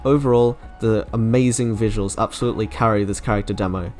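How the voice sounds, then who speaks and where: Young man's scripted commentary over soft music. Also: lowish voice, fairly even intonation, fast. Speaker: video essayist reviewing game trailers. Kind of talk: scripted narration, opinion commentary. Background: soft game music.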